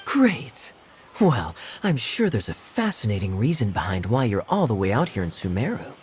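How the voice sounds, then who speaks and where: Sly, swooping, suave knight captain. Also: male voice, on the low side, wildly swooping pitch, moderate pace, a little hiss or hum. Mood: sly, wry. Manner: smooth, wry, theatrical. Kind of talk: video game cutscene acting.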